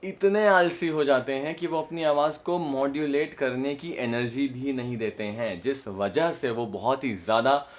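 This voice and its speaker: Man, Indian accent, medium pitch, instructional voice-coach style, emphatic, frequent check-ins with the listener. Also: normal speed, few pauses. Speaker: voice modulation coach. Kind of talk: instructional explanation to camera with demonstrations.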